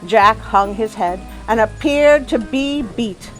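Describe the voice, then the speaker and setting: A woman hosting a story show, telling tales in an expressive, folksy, animated way to her listeners. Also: a little high, slow pace. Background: music.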